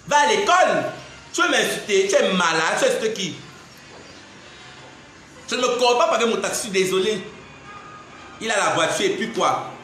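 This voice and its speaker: A young man with a Cameroonian French accent rants to his viewers, indignant and mocking, laughing between jabs. His voice sits high and sounds bright and sharp. The sound bounces around a very echoey room. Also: a little hiss or hum. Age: 20s. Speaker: social media personality. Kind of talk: ranting live video monologue.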